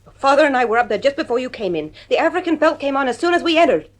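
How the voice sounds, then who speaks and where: A woman playing an anxious, reasoning mother, speaking in earnest, measured pleas in a 1950s radio drama. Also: high-pitched, lively intonation. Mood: earnest concern. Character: worried, nervy, reasoning mother and wife.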